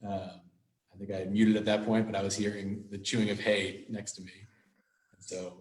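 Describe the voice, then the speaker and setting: A man's voice: on the low side, flat delivery, fairly quick. A man moderating a casual live question session, talking in a hesitant, rambling, conversational way over a video call. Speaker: host and moderator. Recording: roomy, echoing video-call audio.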